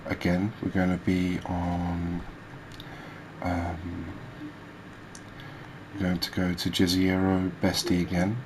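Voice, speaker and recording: A man hosting a gaming video, giving soft ASMR commentary in a halting, hesitant manner. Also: sits low. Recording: steady background noise.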